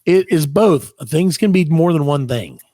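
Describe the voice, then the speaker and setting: A man's voice: a little high, expressive, unhurried, dark tone, no echo, studio quality. A man hosting a comedy podcast in casual, playfully argumentative banter. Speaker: podcast host.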